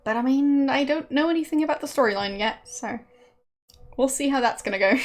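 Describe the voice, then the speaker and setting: A woman's voice: high-pitched, fairly quick. A woman whose first language is German, chatting casually with viewers while she streams, hesitant and full of fillers and small laughs.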